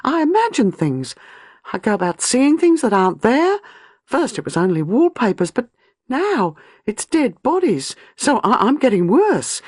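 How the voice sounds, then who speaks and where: A woman's voice: on the high side, sing-song, measured pace, a little mellow, husky, no echo, studio quality, pitched above the performer's own voice, exaggerated voice. A woman reading an audiobook, voicing a frightened, distraught young woman who talks in breathless, pleading bursts. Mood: desperate. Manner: breathless, pleading, rising panic.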